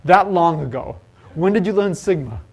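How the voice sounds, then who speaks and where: A man's voice: high-pitched, normal speed, breathy edge. Man lecturing a math class, questioning. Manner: lively, asks the class questions and jokes. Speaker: math professor. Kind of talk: university lecture. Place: lecture hall.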